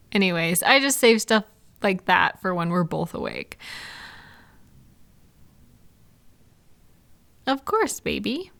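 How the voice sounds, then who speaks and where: A woman plays an affectionate girlfriend in an intimate roleplay audio. Her voice sits at a medium pitch for a woman. She chats playfully and reassures her partner with gentle, caring attention, as if in a private one-sided conversation. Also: animated, measured pace, gravelly. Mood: sheepish, fond. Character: caring, gentle, chatty girlfriend comforting a night-owl partner.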